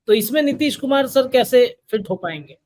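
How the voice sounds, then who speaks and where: A man's voice: extremely high pitch, expressive, moderate pace, a little mellow, a little hiss or hum. A man working as a news interviewer, putting political questions in a deferential way. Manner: deferential, addresses guest as sir, frequent acknowledging murmurs.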